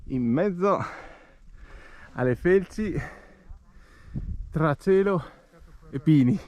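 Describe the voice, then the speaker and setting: A man's voice: a little high, animated, extremely slow, darkish tone. A man giving casual, playful and encouraging commentary as he films and guides a walk outdoors. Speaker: hiking vlogger filming and guiding a walk. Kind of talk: casual trail commentary to viewers and companions. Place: outdoors on a mountain trail. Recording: clearly noisy outdoor handheld recording.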